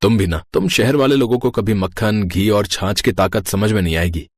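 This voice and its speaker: A man playing a simple, good-natured young village-bred husband in a cartoon story, with a husky voice and patient, teasing manner. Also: fairly low pitch, normal speed, no echo, natural voice.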